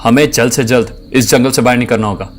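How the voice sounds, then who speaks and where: A man's voice: medium pitch, a little fast, a little hiss or hum, natural voice. A man playing a cautious, serious young university student in an audio drama. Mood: urgent.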